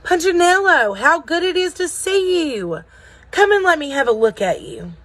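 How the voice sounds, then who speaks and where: A woman voicing a kindly, fatherly bearded craftsman in a storybook, speaking gently and thoughtfully. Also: extremely high pitch, very animated, voice pushed up, exaggerated voice. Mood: welcoming. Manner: thoughtful, slow, gentle, reassuring.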